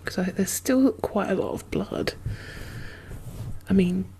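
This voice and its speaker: A woman playing a gentle, chatty medic in a zombie apocalypse ASMR roleplay, speaking softly and hesitantly with a reassuring manner. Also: mid-range voice, slow pace, vocal fry, a little hiss or hum. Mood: gentle reassurance. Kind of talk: soft-spoken, whispered ASMR roleplay.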